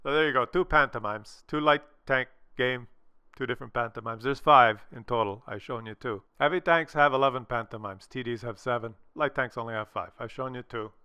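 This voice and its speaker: A man giving casual gameplay commentary and coaching in a rambling, halting way, often repeating himself. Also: mid-range voice, pushed and bright, clear, resonant tone. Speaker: gaming video host and commentator.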